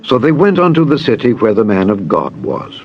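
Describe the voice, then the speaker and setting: A man reading dramatized Bible narration in a solemn, measured storytelling manner. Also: mid-range voice, very animated, creaky. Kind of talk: dramatized scripted scripture narration. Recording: dull lo-fi audio over music. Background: music.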